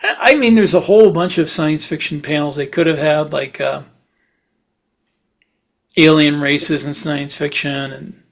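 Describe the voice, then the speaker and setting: Middle-aged man with a slightly high voice. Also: a little slow, gravelly. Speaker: podcast co-host, science fiction author. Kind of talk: casual chat.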